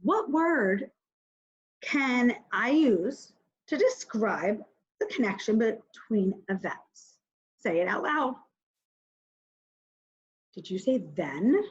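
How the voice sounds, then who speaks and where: A woman with a fairly high, very animated voice teaches a reading mini-lesson to kindergarteners. She talks slowly in simple words and puts questions directly to the children. The recording is a little dull and lo-fi. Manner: simple, instructive, addresses children directly with questions and pauses to think aloud.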